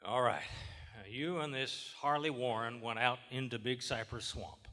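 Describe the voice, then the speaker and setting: Skeptical small-town lawman, played by a man: blunt, folksy, forceful bright voice, reverberant theater. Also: medium pitch, moderate pace, natural voice. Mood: exasperated, skeptical. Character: gruff, skeptical Southern small-town lawman interrogating a suspect. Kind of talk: live radio horror theater acting. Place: large theater stage, live performance. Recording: live stage in echoing theater hall.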